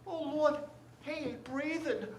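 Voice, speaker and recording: Woman acting a high, flustered store worker in radio drama. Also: takes it slowly. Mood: shocked, panicky. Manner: stammering, gasping, exclaiming aloud. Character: flustered, nosy department store employee, nervous and talkative. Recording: roomy stage sound with clear noise.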